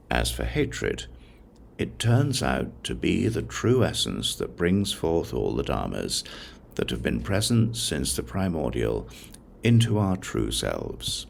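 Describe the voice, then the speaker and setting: Male narrator, heavy creaky fry, slow delivery, lively rise and fall, solemn scripture reading, deliberate pauses. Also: extremely low pitch, slight background noise. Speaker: audiobook narrator. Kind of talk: scripted narration of a religious text.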